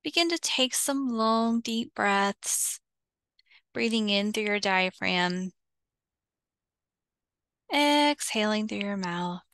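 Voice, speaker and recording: Female meditation guide, very slow and soothing, gentle fry in a smooth tone, close dry sound. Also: medium pitch, expressive, no echo. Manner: soothing, unhurried, with long pauses between short phrases.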